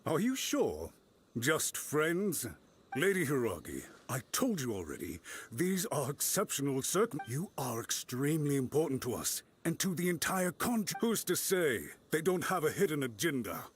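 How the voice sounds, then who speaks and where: A flustered, pleading retainer. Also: male voice, fairly high pitch, very animated, normal speed, a little hiss or hum. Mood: protective. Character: anxious, devoted male retainer of a noble lady. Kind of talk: game voice acting.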